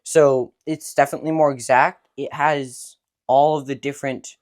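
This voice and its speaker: A man's voice: mid-range voice, fairly even intonation, normal speed, darkish tone. A young man, a ham radio operator, explaining radio features step by step in a room at home. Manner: explains step by step with small restarts. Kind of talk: casual technical explanation.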